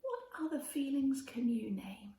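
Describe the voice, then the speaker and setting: A woman reading a children's story aloud in an expressive way, speaking directly to young listeners and prompting them. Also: high voice, unhurried. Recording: roomy echo with faint background noise.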